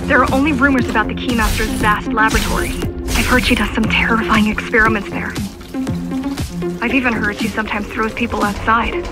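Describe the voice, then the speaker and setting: An actress playing an earnest, brave young resistance fighter in a video game, speaking sincerely and conversationally. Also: female voice, a little high, steady tone, brisk, lightly acted. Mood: earnest, informative. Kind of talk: dramatic video game acting. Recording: loud electronic music behind.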